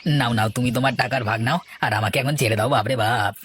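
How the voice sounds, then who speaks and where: A man's voice: medium pitch, steady tone, fast, fairly bright tone, faint music behind, natural voice. A man voicing a jittery, wheedling petty thief, greedy and cowardly, in a cartoon. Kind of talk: Bengali cartoon dialogue.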